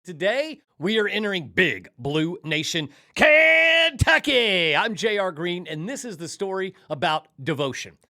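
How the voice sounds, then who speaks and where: Animated, high-pitched American man hosting sports. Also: unhurried, gravelly, few pauses. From Tennessee, United States. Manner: emphatic showman delivery. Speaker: sports podcast host. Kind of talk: upbeat podcast intro and interview chat.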